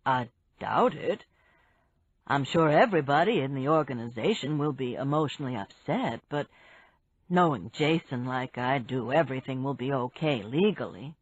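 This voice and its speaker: A woman reading a calm middle-aged man who patiently explains business matters. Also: rumbling low, normal speed, breathy edge, no room sound, pitched below the performer's own voice, full character voice. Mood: explaining. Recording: dull, dry lo-fi recording.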